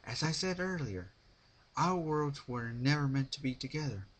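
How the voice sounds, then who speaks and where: A man's voice: mid-range voice, animated, normal speed, a bit bright, pitched above the performer's own voice, poor audio. A man voicing a regal female pony ruler from a fan fiction story, formal, stern and lecturing. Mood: commanding.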